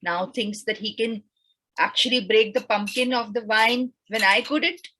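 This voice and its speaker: A cranky, impatient witch, put on by a woman storyteller speaks a little higher than a plain voice, with a faintly husky edge. She drags out her complaints with exasperated emphasis, as in a read-aloud picture book. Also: unhurried, pushed and bright, rough recording. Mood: annoyed.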